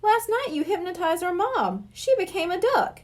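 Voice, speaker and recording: A woman's voice: very high-pitched, big pitch swings, normal speed, voice pushed up. A woman playing an earnest, polite young boy in a children's storybook, with a questioning manner. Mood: puzzled.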